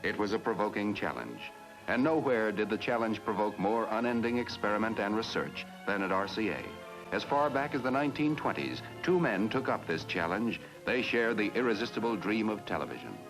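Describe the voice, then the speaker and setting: A male narrator from a 1950s educational film, with a low voice that rises and falls dramatically. He delivers every line grandly. A loud orchestral score plays under him on an old film soundtrack. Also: lively intonation, a little fast, music in the background, low-quality recording.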